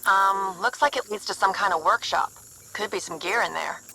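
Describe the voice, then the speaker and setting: A woman's voice: medium pitch, moderate pace, sharp tone, a little hiss or hum, poor audio. A woman voicing an eager young alien tech helper, uneasy with violence, in a video game. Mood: focused, helpful.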